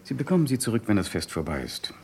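Low-voiced, commanding male detective. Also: big pitch swings, fast talker, a little mellow, slight background noise. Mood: curt, commanding. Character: curt, commanding police detective, courteous to elders. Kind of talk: radio crime drama acting.

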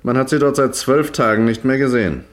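A man's voice: medium pitch, a little fast, natural voice. An actor playing an eager, efficient police investigator reporting his findings in a 1950s German radio crime drama. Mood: factual.

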